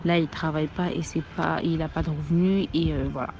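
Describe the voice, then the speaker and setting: A woman giving a halting personal testimony as an essential worker, with plenty of hesitations. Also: deep voice, steady tone, darkish tone. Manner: plain, halting, with fillers. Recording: dull lo-fi with traffic noise.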